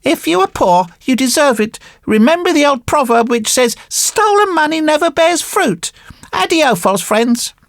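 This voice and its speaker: A woman's voice: high voice, lively intonation, moderate pace, no room sound, studio quality, pitched above the performer's own voice. A woman voicing an earnest, polite wooden marionette boy in a storybook, speaking in formal, emphatic exclamations. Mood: scornful.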